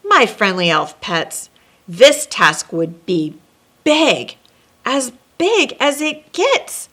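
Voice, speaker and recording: A woman reader gives voice to a kindly old Santa in a children's storybook. He speaks slowly and earnestly, measuring each phrase with patient gentleness. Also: on the high side, big pitch swings, exaggerated voice. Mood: amused, doubtful.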